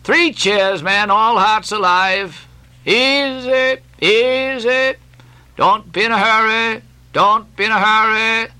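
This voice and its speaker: Man acting a wheedling, high-pitched whaleboat mate. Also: steady tone, slow pace, bright, forceful tone, smooth, heavily acted. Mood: jolly scolding. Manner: coaxing drawl mixed with playful scolding. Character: wheedling, rascally, jolly second mate urging his whaleboat crew. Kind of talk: audiobook character acting.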